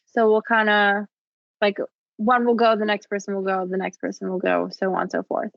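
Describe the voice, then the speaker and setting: A woman with a New York accent chats casually as a podcast co-host, leading the game in an easygoing way with laughs. Her voice has a middle pitch, and it is recorded dry and a little dull. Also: little pitch movement, normal speed, dry recording. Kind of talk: casual chat.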